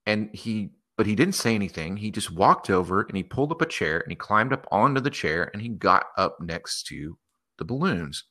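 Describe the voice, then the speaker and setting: A man improvising a story aloud in an animated way, acting out the dialogue as he goes. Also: lowish voice, normal speed. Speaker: host.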